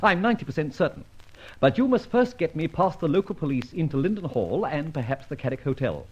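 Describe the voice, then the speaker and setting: Animated, high-pitched gentleman sleuth. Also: fairly quick, husky. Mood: breezy. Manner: jaunty, teasing, self-assured. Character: confident, genial gentleman safe expert and amateur sleuth. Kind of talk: old-time radio drama acting. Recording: dull vintage radio recording, faint music.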